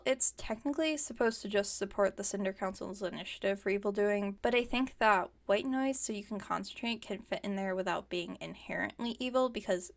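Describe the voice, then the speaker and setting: A woman's voice: mid-range voice, close to an everyday voice. A woman playing a playfully defensive, stubborn villain inventor in an ASMR roleplay, chatting and teasing with mock innocence. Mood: smug, defensive. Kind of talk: ASMR roleplay, one-sided conversational acting.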